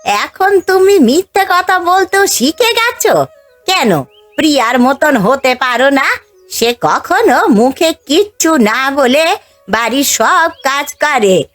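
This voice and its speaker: Casting: actress as domineering elderly mother-in-law for village cartoon; raised pitch, very lively intonation, partial and reproachful. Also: slow pace, faint music behind, exaggerated voice. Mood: scolding. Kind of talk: Bengali cartoon fairy-tale voice acting.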